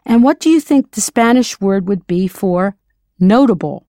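Female narrator, English-speaking, clear and encouraging, dry studio sound. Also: mid-range voice, expressive, dark tone, dry recording. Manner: clear, encouraging, slows down to model pronunciation. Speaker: language teacher. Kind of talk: scripted audio lesson narration.

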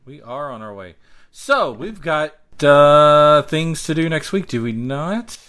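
A man's voice: on the high side, animated, slow, a bit bright. A man co-hosting a podcast in casual, irreverent, joking banter. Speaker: podcast co-host.

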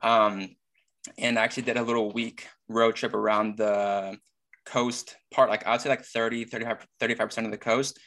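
Low, flat-voiced young man from Iceland. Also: normal speed. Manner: relaxed, hesitant, many fillers. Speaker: fitness coach, guest. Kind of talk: casual interview chat.

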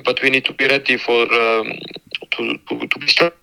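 Coach, male, bright tone, guarded and halting answers. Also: mid-range voice, little pitch movement, vocal fry, rough recording. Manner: halting, repeats words, careful and guarded. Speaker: football coach. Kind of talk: phone interview.

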